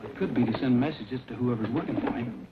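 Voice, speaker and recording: Actor as quick-talking, decisive cowboy hero. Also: male voice, mid-range voice, lightly acted. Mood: deducing. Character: confident cowboy hero, plain-spoken, takes charge. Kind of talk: old-time western acting. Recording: dull old recording with heavy noise.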